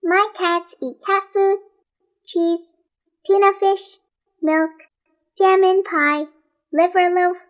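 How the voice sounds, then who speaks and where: Storybook girl, played by a woman: very high, talkative, emphatic sing-song listing. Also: level tone, takes it slowly, long pauses, dull audio. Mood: chattering, emphatic. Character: chattering young girl endlessly reciting lists. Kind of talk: storybook character reading.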